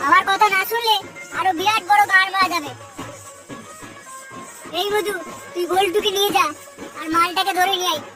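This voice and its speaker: A rowdy young cartoon troublemaker with an extremely high, childlike pitch. The delivery is brash, crude and bossy. Prominent music runs under the voice. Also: fairly even intonation, moderate pace, pauses often. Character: rowdy foul-mouthed young cartoon prankster gang. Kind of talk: Bengali cartoon comedy dubbing.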